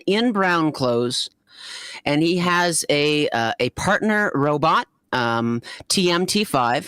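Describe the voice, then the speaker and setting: Male co-host, slightly high voice, dry sound, casual meandering storytelling and banter. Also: a bit bright, no echo. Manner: rambling, searching for details. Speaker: co-host of a fan-fiction reading show.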